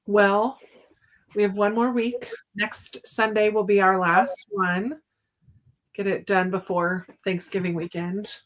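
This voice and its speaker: A woman's voice: medium pitch, steady tone, slow pace, poor audio. A woman hosting a casual book discussion, talking in a chatty, informal way. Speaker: host of a book channel readalong.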